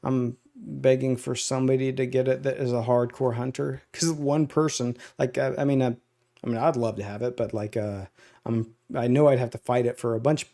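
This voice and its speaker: A man's voice: medium pitch, fairly even intonation, moderate pace, mellow. A man chatting loosely on a podcast, rambling through stories with many "uh"s and "like"s. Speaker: podcast co-host. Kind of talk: casual chat.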